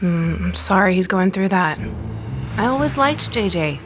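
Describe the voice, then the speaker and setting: A woman acts a caring married friend in an audio soap opera. She speaks earnestly and reassuringly at a middle pitch, with music clearly playing underneath. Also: animated, measured pace, lightly acted. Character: caring married woman, supportive confidante and colleague. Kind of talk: naturalistic soap opera dialogue. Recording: studio drama with music underneath.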